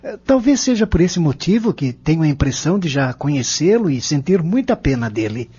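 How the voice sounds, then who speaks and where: A man's voice: fairly high pitch, sing-song, fairly quick, mellow, breathy edge. A man playing an earnest, reflective, kindly young man in an audio drama. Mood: puzzled, reflective. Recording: dull lo-fi audio.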